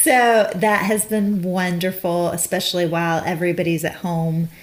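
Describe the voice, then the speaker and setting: A woman's voice: fairly low pitch, fairly even intonation. A woman in her forties, an interior designer, chatting as a guest in a friendly, bubbly way with frequent ums. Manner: bubbly, laughs often, halting with ums. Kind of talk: casual conversational interview.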